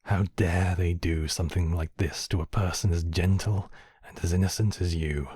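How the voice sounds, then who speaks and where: Demon king for intimate ASMR roleplay male actor: cavernously low, husky breathy voice, possessive and courtly, threatening to others yet gently sheltering, measured delivery. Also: steady tone, studio quality. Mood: quietly furious. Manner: formal, courtly, measured phrasing with soft breathy drops. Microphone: close microphone, dry.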